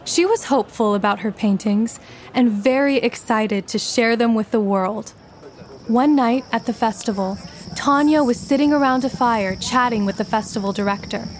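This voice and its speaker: A woman's voice: fairly high pitch, moderate pace, a little hiss or hum. A woman reading a scripted biographical story for children as its narrator.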